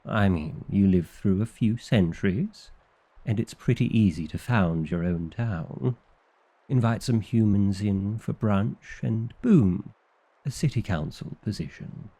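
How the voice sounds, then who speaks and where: A man's voice: lowish voice, a little slow, dark tone. A man playing a bookish, exasperated vampire librarian in an ASMR roleplay, wry and theatrical with flustered indignation. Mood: smug, exasperated.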